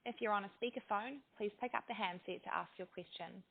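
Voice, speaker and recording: A woman's voice: mid-range voice, fast talker, creaky. Female call operator on lo-fi line. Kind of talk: scripted operator announcements. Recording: dull lo-fi conference line.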